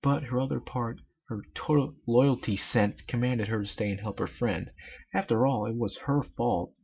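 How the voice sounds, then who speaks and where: A man's voice: mid-range voice, moderate pace, creaky, breathy edge. A man narrating a story as an amateur reader, with joking asides, on a dull lo-fi home recording. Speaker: amateur fan fiction reader.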